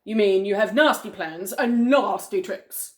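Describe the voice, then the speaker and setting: A woman's voice: mid-range voice, unhurried, reverberant, lightly acted. A woman voicing a big indignant elephant in a children's story, scolding with emphatic stresses. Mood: outraged, scolding.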